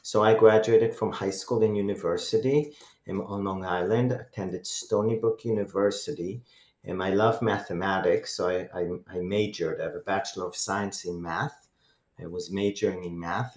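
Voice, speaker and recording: A middle-aged man from Israel with an Israeli accent and Hebrew as his first language, telling his personal testimony as a radio guest in an earnest, measured way. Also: fairly low pitch, roomy sound. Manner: earnest, measured storytelling with many "um"s.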